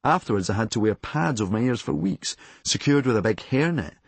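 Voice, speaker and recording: A Scottish man from Glasgow narrates a memoir in a husky voice. His delivery is deadpan and drily comic, yet the pitch moves in lively swings. The sound is dry and free of room echo. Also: fairly quick. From Glasgow, Scotland. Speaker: audiobook narrator and author.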